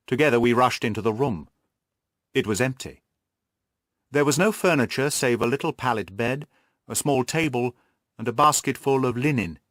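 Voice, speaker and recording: A man's voice: medium pitch, fairly bright tone, dry recording, clean studio sound. A man reading scripted literary narration as an audiobook narrator.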